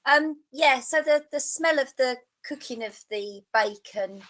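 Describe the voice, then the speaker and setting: Soft-spoken woman, faint on the mic. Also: high voice, measured pace. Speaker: webinar participant. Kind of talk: casual chat on a video call. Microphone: far from a computer microphone.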